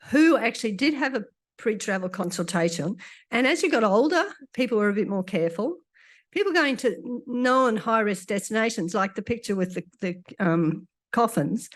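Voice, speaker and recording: Croaky-voiced woman giving a lecture. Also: a little high. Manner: conversational, with dry asides. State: croaky after illness. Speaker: doctor giving a conference talk. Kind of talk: conference lecture.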